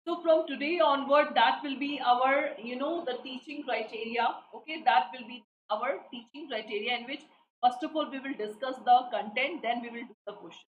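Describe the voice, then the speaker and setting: A woman's voice: high-pitched, moderate pace, highs cut off. Woman, Indian English accent, online tutor, repeats questions, coaxing students, echoey room. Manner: repetitive, prompting students for answers. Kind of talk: live online class, quizzing students. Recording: echoey room with faint noise.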